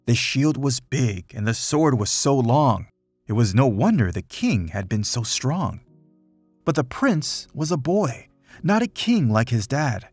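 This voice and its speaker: A man reads a children's story in rhyming verse with a slightly husky, breathy edge to his voice. His pitch moves in a lively, dramatic way as he tells the tale. Faint music plays behind him. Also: dry recording. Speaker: storybook reader. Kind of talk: children's picture book read-aloud, rhyming verse.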